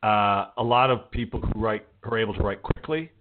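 A middle-aged man hosting a radio interview in a casual, informal way, rambling a little as he thinks out loud. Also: fairly low pitch, fairly even intonation, a little fast, a little hiss or hum. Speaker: radio show host and interviewer. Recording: band-limited, dull radio-show audio.

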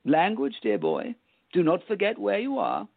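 A man playing a pompous, jovial, sly gentleman in a radio play, speaking in an affected, genteel, condescending way. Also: high voice, huge pitch swings, normal speed, creaky, no echo, full character voice. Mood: mock innocence. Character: pompous, jovial, sly gentleman time traveler, full of himself. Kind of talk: hobby radio play acting. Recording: dull lo-fi audio.

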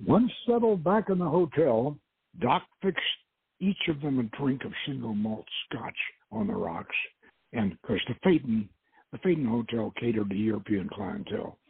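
A man with a husky, rough voice gives a halting dramatic reading of a story as its narrator. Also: mid-range voice, animated, slight background noise. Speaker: host reading his own novel aloud. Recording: muffled phone-like line, roomy echo.

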